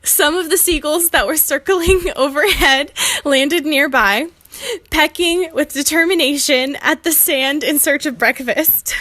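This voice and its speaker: A woman's voice: sits high, unhurried, sharp tone. A young woman co-hosting a podcast in casual comedic chat, giggly and prone to laughing as she talks or reads aloud. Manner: giggly, breaks into laughter while talking. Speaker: podcast co-host.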